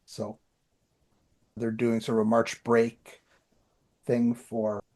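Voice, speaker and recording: Male podcast co-host, Canadian accent, mid-pitched, casual and rambling, self-deprecating. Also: monotone, takes it slowly, darkish tone. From Ottawa area, Canada. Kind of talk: casual chat, personal updates.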